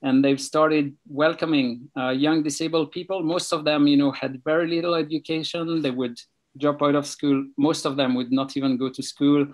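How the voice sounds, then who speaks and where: A man with a Swiss accent, speaking over a video call about his charity work, slow and halting with many pauses. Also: fairly high pitch, flat delivery, low-quality recording. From Switzerland. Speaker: charity treasurer, guest speaker. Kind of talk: casual talk on a video call. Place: home near Belfast.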